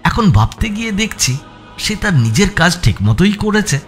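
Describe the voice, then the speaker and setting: A man narrating a suspense audio story in the first person, with expressive, dramatic delivery. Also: high-pitched, normal speed. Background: background music.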